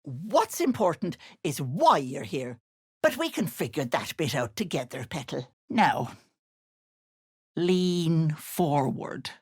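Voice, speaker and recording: A woman's voice: low voice, very animated, slow pace, darkish tone, husky, no echo, clean studio sound, full character voice. A woman voicing a sly old hag in a video game, sweet-talking with cloying endearments and veiled menace. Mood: sweetly coaxing. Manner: cloying endearments laced with threats. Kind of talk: video game character voice lines.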